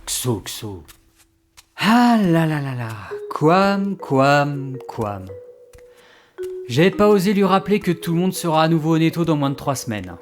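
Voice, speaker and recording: An actor as a doubtful, wry man who hems and haws. His voice sits a little high over faint music in a comic audio serial. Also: animated, unhurried. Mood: resigned, casual. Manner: dry, hesitant. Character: sceptical, hesitant, wry man humouring his friends.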